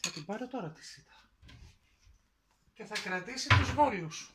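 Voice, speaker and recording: A woman's voice: medium pitch, lively intonation, heavy background noise. Middle-aged woman host, homely cooking demo in a kitchen, sizzling and clatter audible behind her. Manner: homely, encouraging, explains each step. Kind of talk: home cooking demonstration. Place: home kitchen.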